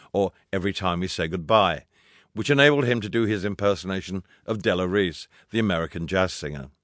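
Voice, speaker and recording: Male audiobook narrator, lowish register, dry studio sound, wry and humorous memoir delivery. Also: a bit bright, no echo. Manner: wry, dryly humorous storytelling.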